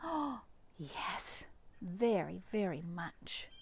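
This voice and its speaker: A woman's voice: lowish voice, sing-song, extremely slow, vocal fry, slightly rough, voice pushed down, full character voice. A woman reading the part of a devoted father in a picture book, affectionate, encouraging and reassuring. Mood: tender, reassuring. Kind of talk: picture-book read-aloud.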